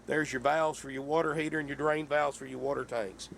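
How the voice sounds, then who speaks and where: A man, hoarse from a sinus infection, giving a folksy sales pitch for a camper van and calling his viewers folks. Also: steady tone, fairly quick, background noise. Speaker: RV dealer. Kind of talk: sales pitch walkthrough video.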